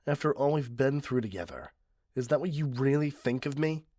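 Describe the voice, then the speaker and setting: A man voicing an entitled, middle-aged, obsessive customer in an ASMR roleplay, husky, condescending and possessive, close to the microphone. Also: mid-range voice, animated, moderate pace, a little mellow, no echo, lightly acted. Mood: shocked, hurt. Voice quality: husky, often breathy. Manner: condescending, self-righteous, cloyingly possessive. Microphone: close, dry microphone.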